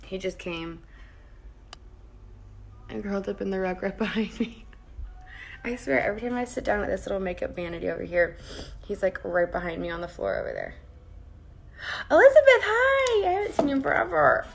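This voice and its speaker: A woman with a raspy voice, chatting casually with her viewers while she does her makeup at her vanity at home. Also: mid-range voice, wildly swooping pitch, brisk, long pauses. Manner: chatty, greets viewers by name and rambles while working. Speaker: makeup vlogger. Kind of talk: casual live-streamed makeup tutorial. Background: faint background music.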